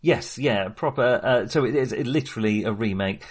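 A man's voice: medium pitch, normal speed, husky. A man co-hosting a casual film discussion, enthusiastic and rambling, often interrupting himself as he goes. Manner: sweary. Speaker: film discussion podcast host.

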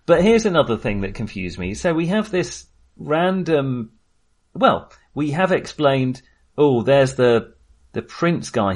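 A man co-hosting a casual film discussion, talking in a hesitant, self-deprecating way. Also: medium pitch. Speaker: podcast co-host reviewing films.

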